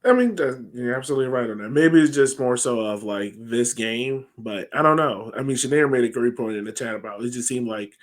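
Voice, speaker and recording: American man, mid-pitched casual sports talker. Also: a little fast, few pauses. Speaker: sports podcast host. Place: home room, indoors, on a video call.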